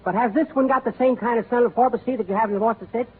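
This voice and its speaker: Goofy, naive kid-like tourist; very high voice; thin, faintly hissy old radio. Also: male voice, racing. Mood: earnest. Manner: earnest and silly. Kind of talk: 1940s radio comedy acting. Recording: tinny old radio broadcast.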